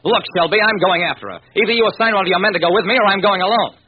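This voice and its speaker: A man's voice: high voice, rapid-fire, natural voice. An American man playing a wry, impatient private detective in an old-time radio drama, with clipped hardboiled narration. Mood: brisk, impatient. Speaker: narrator and lead actor. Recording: noisy, dull vintage radio recording.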